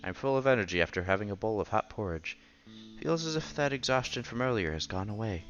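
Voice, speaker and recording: A man reading a visual novel aloud as a streamer, with playful, casual asides and quiet game music behind him. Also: lowish voice, lively intonation, fast. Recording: slightly dull, faint game music behind.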